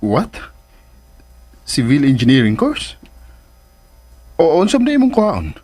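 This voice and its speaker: A man's voice: on the high side, wildly swooping pitch, takes it slowly, darkish tone, exaggerated voice. A man acting a friendly, teasing young engineer in a Cebuano radio drama, full of surprised questions.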